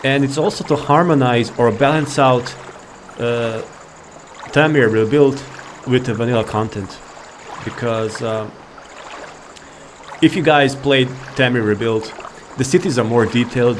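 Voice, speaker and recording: A man's voice: medium pitch, steady tone, unhurried, noisy background. A man giving casual, rambling gameplay commentary, laid-back and thinking aloud. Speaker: gaming video creator.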